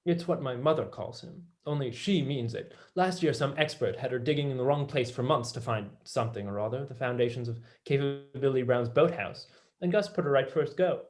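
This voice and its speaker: A young man playing a passionate, blunt, excitable mathematician in a staged play reading. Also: mid-range voice, brisk, lightly acted. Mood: wry. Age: young adult.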